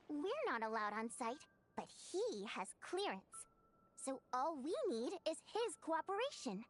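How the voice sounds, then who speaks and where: A woman voicing an expressive, theatrical young female stage performer and activist with a spirited, indignant streak in a video game. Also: sits high. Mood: confiding, businesslike. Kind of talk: scripted game voice acting.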